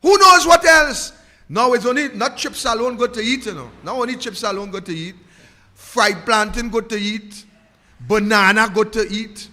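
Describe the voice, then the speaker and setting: A man's voice: sits high, moderate pace, sharp tone, big-room sound. A Trinidadian man hosting a live political talk show, delivering a scornful rant full of repeated rhetorical questions. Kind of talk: ranting live political commentary.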